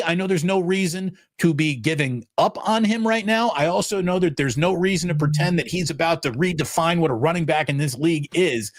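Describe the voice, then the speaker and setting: A man with a fairly high voice gives punchy, opinionated takes on a sports talk show. He slows down to stress a point, then rolls on with slang and asides. The sound is dry and close. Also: no echo. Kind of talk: sports analysis chat.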